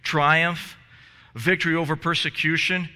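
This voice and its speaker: Earnest bright-voiced pastor in a church. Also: male voice, fairly high pitch, fairly even intonation, unhurried, in a large hall. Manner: earnest, gesturing, many restarts and repeated words. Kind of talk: sermon. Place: church sanctuary in front of stage steps. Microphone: headset mic.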